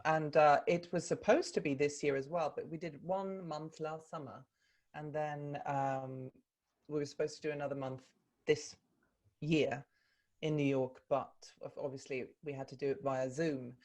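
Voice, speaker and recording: A Swedish woman with a low, deep voice talks in English as an interview guest over a video call. She chats in a relaxed way and pauses to think, often with ums and restarts. Her first language is Swedish. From Sweden. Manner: thoughtful, with frequent ums and restarts. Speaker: actor and voice coach, interview guest.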